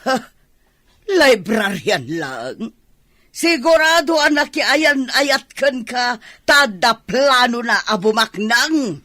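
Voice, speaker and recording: Sharp, scornful, slow-talking mother. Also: fairly high pitch, very animated, no room sound, studio quality, exaggerated voice. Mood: incredulous, scornful. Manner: scornful, incredulous. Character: disapproving, suspicious middle-aged mother of a grown son. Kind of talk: radio drama acting.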